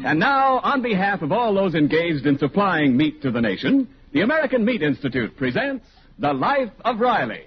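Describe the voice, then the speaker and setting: A man's voice: sits high, big pitch swings, normal speed, music in the background. A man reading scripted introductions as a 1940s radio announcer. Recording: dull, faintly noisy 1940s radio recording.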